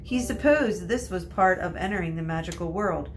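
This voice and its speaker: A woman's voice: on the low side, moderate pace, a little hiss or hum. A woman narrating a storybook aloud in the role of a teacher reading to pupils.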